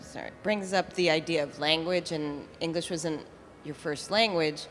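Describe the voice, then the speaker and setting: A woman on a museum panel, chiming in with quick interjections and thoughtful follow-ups. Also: low voice, a little hiss or hum. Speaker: curator. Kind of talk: panel conversation.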